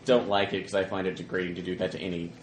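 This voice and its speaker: A man's voice: sits low, flat delivery, racing. A man playing in a tabletop game, talking casually and musing earnestly about his character. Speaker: player. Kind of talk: casual play talk. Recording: echoey, clear background noise.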